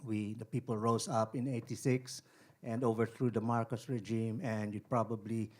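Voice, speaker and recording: A man's voice: lowish voice, little pitch movement, normal speed. A man in his fifties from the Philippines, an activist explaining things on a live panel into a handheld mic. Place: small screening room, indoors. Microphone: handheld microphone.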